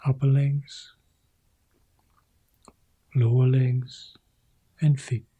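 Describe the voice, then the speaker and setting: A man guides a meditation in a very dark, mellow voice. His delivery is calm and slow, in short phrases separated by long pauses. The tone is soft and breathy, with a husky edge. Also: medium pitch. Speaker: meditation teacher guiding a practice.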